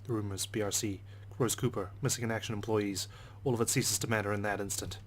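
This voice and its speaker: A man's voice: fairly low pitch, steady tone, fast. A man reading a visual novel aloud in the first person with a dry, sardonic delivery. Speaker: let's play narrator.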